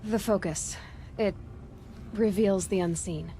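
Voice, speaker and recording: Terse, determined young huntress. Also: female voice, mid-range voice, slow pace, warm tone, slight background noise. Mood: guarded, firm. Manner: terse, matter-of-fact. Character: determined, self-reliant young huntress, cool and observant. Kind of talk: video game voice acting.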